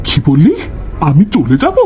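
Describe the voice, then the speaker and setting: Man voicing a haughty, high-pitched scolding housemaid. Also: wildly swooping pitch, measured pace, darkish tone, faint music behind. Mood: haughty, threatening. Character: spiteful, bossy village housemaid woman, scheming and threatening. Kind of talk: cartoon dialogue.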